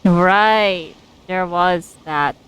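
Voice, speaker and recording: Clear-voiced woman streamer, thinking aloud. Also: fairly low pitch, drawn-out words, fairly bright tone, low-quality recording. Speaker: game streamer. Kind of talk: casual live commentary on game story.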